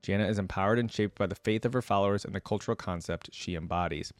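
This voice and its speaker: A man's voice: deep voice, fast talker, dry recording. A man hosting a reaction video, reading aloud and musing in casual conversational asides.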